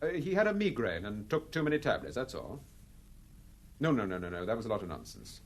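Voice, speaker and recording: An actor playing a composed, courteous British gentleman detective in a radio drama, speaking in a measured, polite way with small hesitant ums. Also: lowish voice, very animated. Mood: polite, reassuring.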